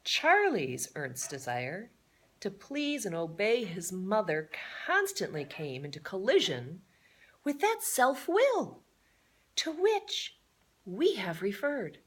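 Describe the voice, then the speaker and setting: Woman, storybook read-aloud, very expressive pitch, slow pace, theatrical, playful chatty asides. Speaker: storybook reader.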